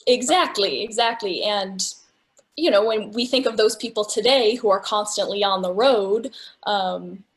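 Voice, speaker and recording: Medium-pitched woman explaining conversationally. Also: measured pace. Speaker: book club discussion participant. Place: virtual discussion video call.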